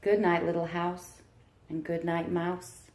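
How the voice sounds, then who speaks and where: A woman teacher reading aloud to young children in an encouraging manner, with questions and deliberate pauses. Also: lowish voice, flat delivery, darkish tone. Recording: roomy, echoing room sound.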